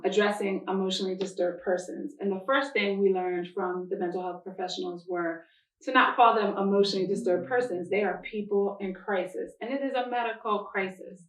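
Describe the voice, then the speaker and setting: A woman county prosecutor giving formal remarks at a public announcement in a measured, deliberate, official way. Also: mid-range voice. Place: church interior. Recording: echoey room, distant microphone.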